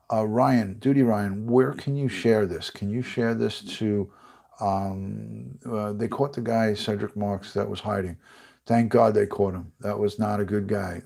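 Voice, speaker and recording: A middle-aged retired detective from the United States chatting casually on a livestream, rambling and reading out viewer comments, with a congested, stuffy voice. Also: male voice, lowish voice, steady tone, measured pace, vocal fry, dark tone.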